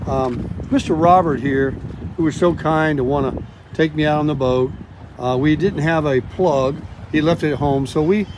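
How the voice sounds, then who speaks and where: An older man talks to his camera outdoors at a boat ramp, chatty and animated, full of uhs. His voice sits a little high, and there is faint outdoor noise behind him. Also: moderate pace. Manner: chatty, animated, many fillers. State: out of breath at times. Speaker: vlogger. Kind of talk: casual vlog narration. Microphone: camera or selfie stick at arm's length.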